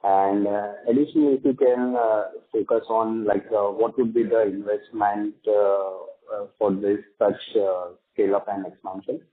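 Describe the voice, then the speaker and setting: A man's voice: fairly low pitch, flat delivery, moderate pace. A man with an Indian accent asking questions as an investor calling in, with hesitant, broken phrasing. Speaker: individual investor, caller. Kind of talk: asking questions on earnings call. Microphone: phone call. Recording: muffled narrow phone line.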